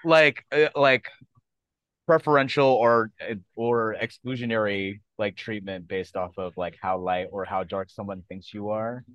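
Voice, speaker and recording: A man hosting a comedy podcast, relaxed and jokey in casual chat, often answering with "right". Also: lowish voice, measured pace, clean tone, no echo, lo-fi sound. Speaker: podcast host.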